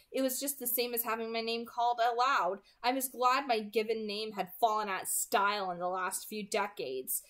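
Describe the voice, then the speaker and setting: A woman's voice: on the high side, fairly bright tone. A woman in her twenties reading a novel aloud at home, expressively, as an amateur who sometimes stumbles and corrects herself. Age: 20s. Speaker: amateur book reader. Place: small room at home, indoors.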